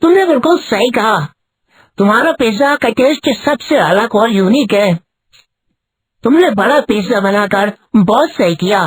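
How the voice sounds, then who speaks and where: A woman with a husky voice playing a supportive, easygoing man in a cartoon story. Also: on the high side, lively intonation, measured pace. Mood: impressed. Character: supportive, easygoing husband and admiring contest judge. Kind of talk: cartoon story character acting.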